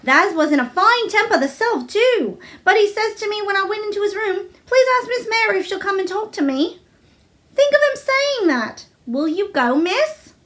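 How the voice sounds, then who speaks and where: A woman voicing an excitable young housemaid with chatty, amazed delivery in a storybook. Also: very high voice, normal speed, voice pushed up.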